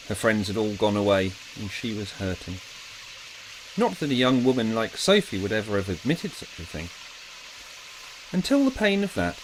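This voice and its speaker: A man's voice: lowish voice, slight background noise, poor audio. A man narrating a scripted audiobook drama in a theatrical, emphatic storytelling manner.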